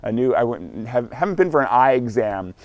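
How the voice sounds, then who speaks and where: A man's voice: mid-range voice, sing-song, a little fast. A man in his forties, vlogging outdoors in morning sunshine, talking candidly in a rambling, self-correcting way. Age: 40s. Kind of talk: casual self-recorded vlog.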